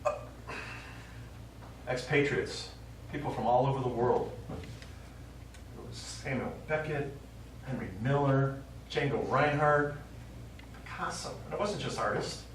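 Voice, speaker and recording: Man playing old American war veteran, stubborn, halting rambling stage monologue, very reverberant, distant mic. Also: medium pitch, lightly acted. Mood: wistful reminiscing. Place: small theater stage, recorded from the audience. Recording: distant, very echoey theater recording, faint noise.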